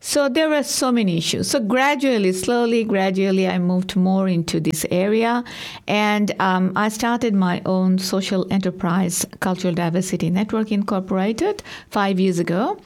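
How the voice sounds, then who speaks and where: Earnest woman from Bangladesh, gravelly tone. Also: medium pitch. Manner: earnest, halting with many ums. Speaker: community worker and guest. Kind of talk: interview answers.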